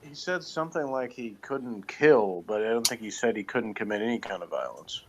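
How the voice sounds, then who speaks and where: Slightly low-voiced man, hesitant casual talker. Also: animated, brisk, highs cut off, a little hiss or hum. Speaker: podcast co-host. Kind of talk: casual unscripted chat.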